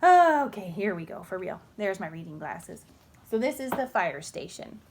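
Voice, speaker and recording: A woman reading a children's story aloud in an expressive, unhurried way, with chatty asides. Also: mid-range voice, noisy background.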